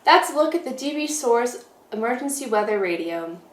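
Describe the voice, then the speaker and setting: A woman's voice: fairly high pitch, animated, moderate pace. A woman presenting a casual product demonstration, explaining things step by step.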